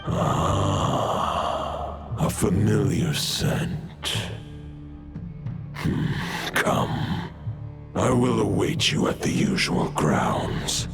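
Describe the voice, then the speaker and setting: A man voicing an ancient wolf spirit in a video game, solemn and formal, with a gravelly, breathy growl. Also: on the low side, sing-song, drawn-out words, long pauses, full character voice. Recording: loud music behind the voice.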